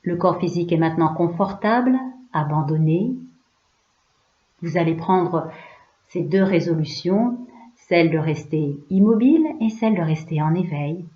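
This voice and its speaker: A woman yoga teacher leading a guided relaxation in a hushed, soothing, unhurried way, leaving pauses between short phrases. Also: lowish voice, expressive. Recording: roomy, slightly dull recording.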